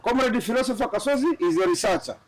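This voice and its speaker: A man from Tanzania hosting a political talk show, animated and quick to laugh. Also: very high voice, crowd noise, low-quality recording. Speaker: show host.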